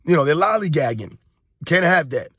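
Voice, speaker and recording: Hoarse guy ranting to his viewers, kinda high voice, super dry close sound. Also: expressive, moderate pace, a bit bright, dry recording. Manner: emphatic, repetitive, addresses viewers as guys. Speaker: sports commentary YouTuber. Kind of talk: casual opinion rant to camera.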